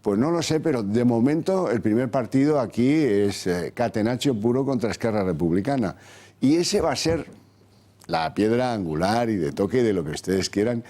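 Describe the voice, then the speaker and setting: A man's voice: medium pitch, expressive, normal speed, warm tone. A man hosting a televised political talk show, introducing guests and posing ironic, rhetorical questions. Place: TV studio.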